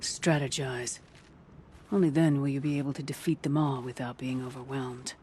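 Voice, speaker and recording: An actress playing a seasoned older huntress who trains hunters, wry and blunt, in video game dialogue. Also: female voice, extremely low pitch, steady tone, normal speed, warm tone, a little hiss or hum. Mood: wry, proud. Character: seasoned old huntress, wry trial keeper.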